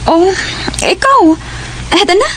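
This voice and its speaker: High female voice, teenage schoolgirl, Cebuano accent, bashful and playful, radio drama, faint music bed. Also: lively intonation, slow pace, fairly bright tone, full character voice, rough recording. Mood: casual, teasing. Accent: Cebuano Filipino. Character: shy, playful teenage girl student being courted.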